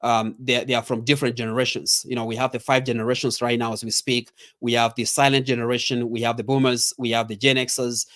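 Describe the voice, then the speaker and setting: A middle-aged man with a Kenyan accent speaks at an average pitch. He delivers a motivational training talk as a leadership coach. He stresses and repeats his key points and speaks straight to the listener. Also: level tone, fast, few pauses, fairly bright tone.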